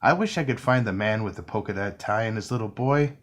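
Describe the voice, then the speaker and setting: A man's voice: fairly low pitch, animated, a little fast, natural voice. A man voicing a proud, restless little toy tugboat in a children's storybook, speaking eagerly and boastfully. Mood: worried. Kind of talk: storybook character voice.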